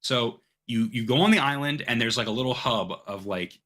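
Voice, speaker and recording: Male podcast host, bright forward voice, eager and informal, sweary casual gaming chat. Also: mid-range voice, fairly quick.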